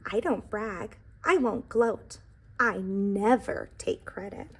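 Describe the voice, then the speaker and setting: A woman's voice: mid-range voice, slow, breathy edge. A woman voicing a humble, self-effacing male pie from a picture book, in a bashful, earnest way. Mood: modest, earnest.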